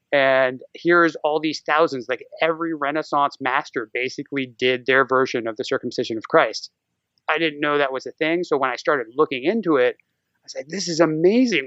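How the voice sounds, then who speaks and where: A man's voice: on the high side, sharp tone, dry recording. A man talking casually as a podcast guest, telling stories in a whimsical, irreverent way. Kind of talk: casual humorous chat and storytelling. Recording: slightly dull, muffled lo-fi audio.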